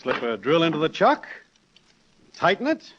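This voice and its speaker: A man's voice: fairly high pitch, huge pitch swings, normal speed, fairly bright tone, highs cut off. A man playing a boastful, cheerful suburban neighbor proud of his gadgets, with showy salesman-like delivery in a mid-century radio sitcom, on a noisy old recording.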